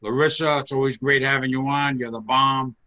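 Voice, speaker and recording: A man's voice: mid-range voice, steady tone, fairly quick, bright, forceful tone, highs cut off. A man hosting a casual video call chat, chatty and encouraging, often repeating his words. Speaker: host.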